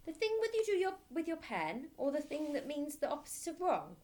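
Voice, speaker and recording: Cheeky small boy for a storybook, woman reader: high, piping, confident, singsong. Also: sing-song, fairly quick, mellow. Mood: protesting. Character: cheeky, eager, confident young schoolboy.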